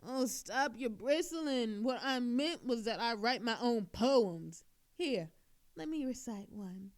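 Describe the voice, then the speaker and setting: A cocky, teasing female thief, acted by a woman in an audio drama, with a high voice that swoops widely. She drawls slowly and theatrically, flirting with smug relish. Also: lively intonation. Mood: playful, mock hurt.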